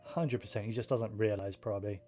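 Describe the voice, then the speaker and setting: A man's voice: fairly low pitch, steady tone, brisk, warm tone, soft background music. A man giving casual gameplay commentary as a streamer, rambling and thinking aloud in an understated way. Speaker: game streamer.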